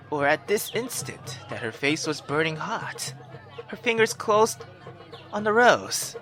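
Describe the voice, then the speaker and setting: A male let's-player with a high, swooping voice reads a visual novel aloud over music. His delivery is theatrical and excitable, like someone performing for his channel. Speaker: gaming YouTuber. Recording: music behind the voice. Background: background music.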